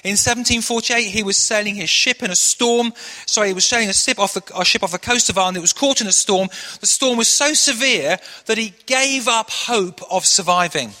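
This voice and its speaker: A middle-aged man from Manchester preaching to his church in a high, forceful voice with a sharp edge. He tells stories earnestly and eases into quiet prayer. The sound rings with a long reverb off a big hall. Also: brisk. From Manchester, England. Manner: earnest storytelling preacher, shifts into hushed prayer. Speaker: pastor. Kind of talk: sermon.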